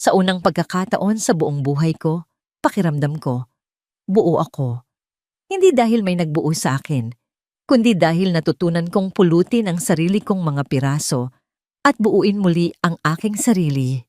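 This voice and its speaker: A woman voicing a calm, quietly happy bride in a reflective, heartfelt way for an audio story. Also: on the low side, normal speed, no room sound, clean studio sound, close to an everyday voice. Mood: calm joy.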